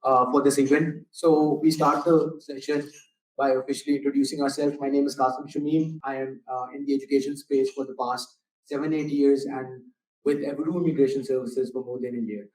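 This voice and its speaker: Slow, measured man heard from afar. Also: mid-range voice, little pitch movement, darkish tone. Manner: polite, measured, with fillers. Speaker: seminar host. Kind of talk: formal seminar introduction. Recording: roomy sound, mic far off.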